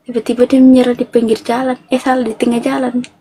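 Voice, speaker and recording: A woman's voice: on the high side, flat delivery, quick pace, warm tone, a little hiss or hum. A woman from Toraja hosting a casual live stream, chatty and teasing. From Toraja, South Sulawesi. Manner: teasing, chatty, laughs often.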